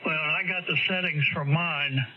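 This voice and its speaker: A man's voice: a little high, steady tone, moderate pace. A man chatting casually as an amateur radio operator over an on-air radio link. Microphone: amateur radio transmission. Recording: dull amateur radio signal, clear static noise.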